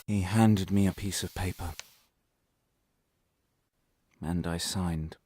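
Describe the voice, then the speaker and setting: A man's voice: low voice, fairly even intonation, slow pace, warm tone, a little hiss or hum. A man playing a guilt-ridden former prisoner telling his story in a radio drama, intense and brooding, in broken, repetitive phrases.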